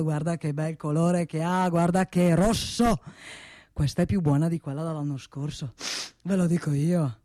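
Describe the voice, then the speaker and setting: A woman's voice: on the low side, dark tone, no echo, studio quality, lightly acted. A woman playing an ageing, wealthy male boss in a comic radio monologue, self-satisfied and domineering, rambling and repeating with little questioning tags. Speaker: actor in a comic radio play.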